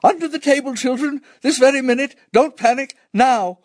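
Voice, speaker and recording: A man's voice: extremely high pitch, moderate pace, no room sound, studio quality, pitched above the performer's own voice. A man voicing a stern, proud mother with a commanding, dismissive manner, in a storytelling narration. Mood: urgent.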